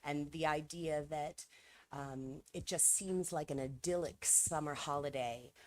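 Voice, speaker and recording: A woman with a notably low voice talks fluently at a festival press conference. She is at ease, explaining the film's intentions and how it was made in clear, well-formed sentences. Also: steady tone, measured pace.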